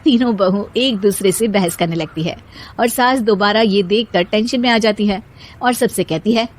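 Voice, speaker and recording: A woman's voice: mid-range voice, moderate pace. A woman narrating a scripted moral bedtime story as the storyteller.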